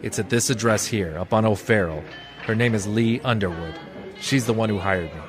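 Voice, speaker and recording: A man playing a hardboiled, cynical private detective in 1940s noir radio style, terse, tough and deadpan. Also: lowish voice, normal speed, over music, crowd noise, close to an everyday voice. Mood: urgent, impatient.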